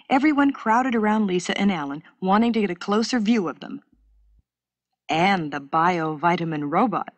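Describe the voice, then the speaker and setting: A woman narrates a scripted story for schoolchildren with a clear, measured delivery. Her voice sits at an average female pitch, recorded close and dry in the style of a 1970s educational cassette. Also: no room sound.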